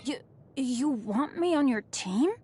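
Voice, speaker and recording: A woman's voice: sits high, animated, slow pace, breathy edge, heavily acted. A woman voicing an eager, hesitant young adventurer in a video game. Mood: surprised, hopeful. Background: loud game music.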